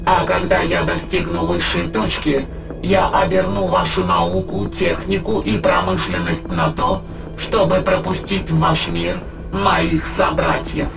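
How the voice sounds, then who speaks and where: A creaking, gravel-throated spirit from another world lays out its ambitions in an audio drama. It is formal and measured, grandiose and coldly persuasive. Music plays clearly underneath. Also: mid-range voice. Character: megalomaniac otherworldly spirit plotting to conquer humanity. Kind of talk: audio drama acting, long villainous monologue. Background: dramatic background music.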